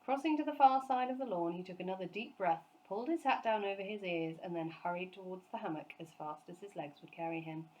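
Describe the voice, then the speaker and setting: A woman storyteller reading a children's book aloud in an expressive narrating style. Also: fairly low pitch, fast talker, few pauses, low-quality recording.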